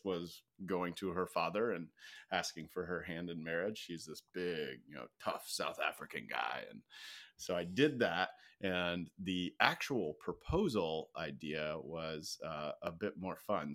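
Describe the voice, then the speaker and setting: A man's voice: deep voice, a bit bright. A man speaking as a podcast guest, casually telling personal stories in a conversational, anecdotal way.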